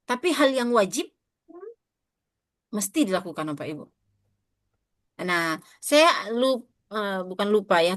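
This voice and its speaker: A woman in her thirties with an Indonesian accent lectures a class of teachers. She keeps turning to her listeners with questions and fills her pauses with hesitations. Her voice is recorded close and dry, with no room sound. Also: medium pitch, no echo. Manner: addresses audience often, asks questions, frequent fillers. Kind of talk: interactive teacher-training lecture.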